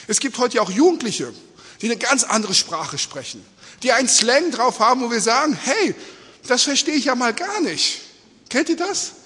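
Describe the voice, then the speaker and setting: Male preacher, native Spanish speaker, high-pitched bright voice, conversational sermon, questioning manner, reverberant hall. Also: sing-song, normal speed, husky. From Australia-born, mother from Peru, living in Germany. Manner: engaged, questioning, often adding rhetorical check-ins. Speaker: preacher addressing a congregation. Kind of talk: informal, conversational sermon in simple words. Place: large echoing indoor hall, speaking to an audience.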